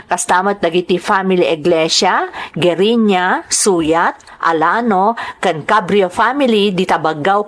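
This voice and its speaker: A woman with an Ilocano accent presents as the host of a radio-style drama program. She reads her script slowly and deliberately, in a measured announcer manner. Her voice sits at an ordinary middle pitch for a woman. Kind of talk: scripted narration, greetings and letter reading.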